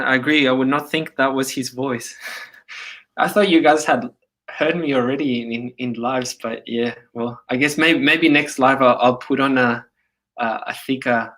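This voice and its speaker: A man in his thirties with a Chilean Spanish accent softened toward Australian. He chats loosely on a livestream, full of fillers and repeated starts, at a middling pitch. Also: fairly even intonation, normal speed. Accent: Chilean Spanish, partly Australian. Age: 30s. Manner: rambling, many fillers and restarts. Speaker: livestream host. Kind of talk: casual livestream chat.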